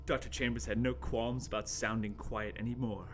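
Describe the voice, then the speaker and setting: A man's voice: medium pitch, level tone, normal speed. A man narrating a horror radio play as its host, in a theatrical, mock-ominous dramatic style. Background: music.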